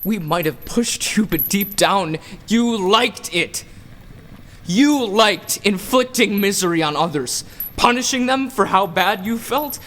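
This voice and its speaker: A man's voice: high-pitched, animated, measured pace, bright, forceful tone, exaggerated voice. A man playing a vengeful king of the gods in a radio play, taunting and theatrical. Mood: heated, accusing. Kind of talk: audio drama acting.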